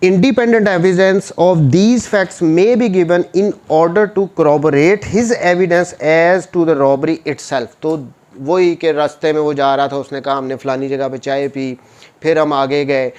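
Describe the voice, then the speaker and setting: A man's voice: fairly high pitch, steady tone, slow pace, a little mellow, clean tone. A man with a Pakistani accent lecturing on written rules, explaining them in a didactic, informal way and rephrasing points simply. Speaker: law lecturer.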